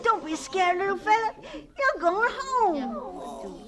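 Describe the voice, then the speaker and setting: Very high-pitched earnest little boy. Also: sing-song, takes it slowly, a crowd in the background, full character voice. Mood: eager, tender. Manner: earnest, hesitant, polite. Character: earnest, shy, dreamy young schoolboy. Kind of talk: radio drama acting.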